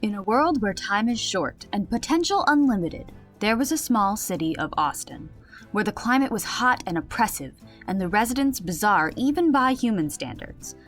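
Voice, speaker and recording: A woman's voice: mid-range voice, very animated, normal speed, faint music behind, natural voice. A woman playing a wry game master who explains and narrates a comedic tabletop game in an audio drama. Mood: narrating.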